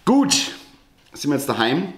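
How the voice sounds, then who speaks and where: A man's voice: on the high side, very animated, unhurried, fairly bright tone, a little hiss or hum. A man in his twenties chatting casually in a home vlog as a fitness coach, dropping English gym jargon into his speech. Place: home kitchen and dining area.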